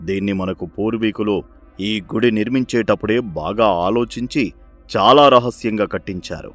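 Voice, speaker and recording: A man voicing a greedy, scheming village-head father who commands his son in a sly, domineering way, for an animated story. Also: fairly low pitch, moderate pace, no room sound, faint music behind, close to an everyday voice.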